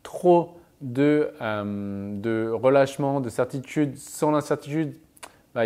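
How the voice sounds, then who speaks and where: A man's voice: mid-range voice, mellow. A man speaking as a mental performance coach, giving advice and anecdotes emphatically, with punchy little interjections that punctuate his points. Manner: emphatic, punctuates speech with sound-effect interjections.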